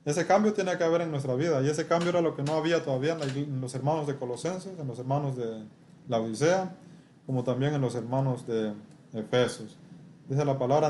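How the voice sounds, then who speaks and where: A male preacher with a soft, mellow voice teaches from scripture. He speaks haltingly and often checks in with short rhetorical questions. There is faint noise and room ambience behind him. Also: medium pitch.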